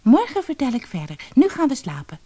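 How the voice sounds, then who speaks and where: Woman as an earnest, high-voiced little girl. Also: wildly swooping pitch, brisk, dark tone, full character voice. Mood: eager. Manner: insistent, earnest, eager. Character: determined, earnest little girl seeking her seven brothers.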